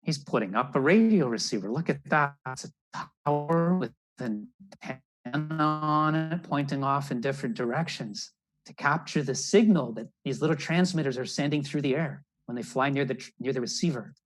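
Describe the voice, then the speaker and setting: A man's voice: medium pitch, normal speed. An older man reading a children's picture story aloud and explaining it as a naturalist, recorded indoors on a video call. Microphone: video call computer microphone.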